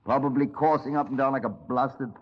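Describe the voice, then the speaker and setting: An actor playing an impatient, sardonic police detective in a 1950s radio crime drama, clipped, dry and dramatic. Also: male voice, medium pitch, a little fast, slight background noise, close to an everyday voice. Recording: dull, band-limited 1950s radio recording.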